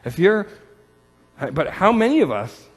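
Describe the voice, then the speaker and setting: Pastor with a lively storytelling sermon voice. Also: male voice, sits high, unhurried, slightly rough, in a large hall. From Canada. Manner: storytelling with self-deprecating humor, repeats words.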